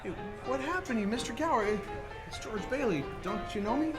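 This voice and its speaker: A man's voice: very high-pitched, lively intonation, brisk. A man playing a bewildered, stammering, agitated everyman family man in a 1940s-style radio play. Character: frantic, bewildered everyman husband and father. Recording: live stage play with music behind.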